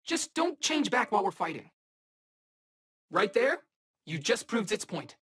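A man's voice: sits high, very animated, unhurried, fairly bright tone, slightly rough, heavily acted. A man voicing a cocky, wisecracking eighteen-year-old superhero in a video game, talking in slangy battle quips. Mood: cocky, teasing. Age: young adult.

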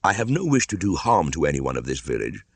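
A man's voice: extremely low pitch, sing-song, fast, dry recording, exaggerated voice. A man reading a storybook aloud, voicing a stern young jungle-raised boy who speaks in an old-fashioned, commanding way. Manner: stern, archaic speech.

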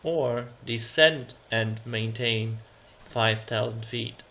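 A man narrating an instructional slide presentation as a tutor, reading out example phrases in a deliberate, measured way. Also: medium pitch, gravelly, bright, forceful tone.

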